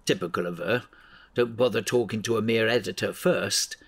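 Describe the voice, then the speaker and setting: An actor playing an exasperated, bossy newspaper editor in an audio drama, blunt and scolding. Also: male voice, lowish voice, moderate pace, vocal fry, breathy edge. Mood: angry, exasperated.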